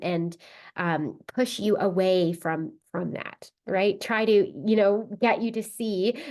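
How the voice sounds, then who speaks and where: A woman's voice: mid-range voice, a little mellow. A woman with a cold, sounding a little stuffed up, talking casually as a podcast host, with many fillers and tag questions. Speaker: podcast host and spiritual reader. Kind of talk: casual solo podcast talk.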